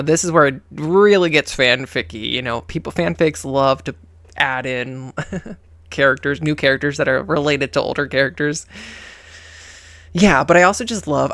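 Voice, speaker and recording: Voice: medium pitch, creaky, a bit bright, dry recording. A reaction host giving casual, chatty and playful commentary on a game story, with slangy phrasing.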